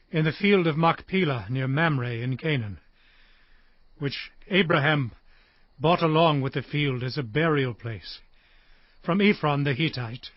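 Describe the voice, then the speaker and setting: An actor playing a dying old patriarch solemnly blessing his sons in a dramatized audio Bible, in a declamatory, deliberate manner. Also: a little high, dry recording, close to an everyday voice. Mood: grave, weary. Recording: dull lo-fi audio with music bed. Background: background music.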